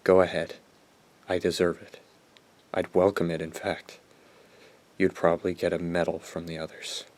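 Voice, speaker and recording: A man playing a sardonic, guilt-ridden teenage boy in a radio drama, brooding and halting as he confesses. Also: sits low, level tone, a little mellow, soft background music, natural voice. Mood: resigned, remorseful. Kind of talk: radio drama acting with first-person narration.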